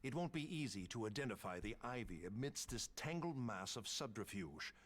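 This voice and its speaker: A man performs a grave military captain in a fantasy game. The phrasing is formal and deliberate, and the pitch moves in lively, dramatic swings. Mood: grave, confiding.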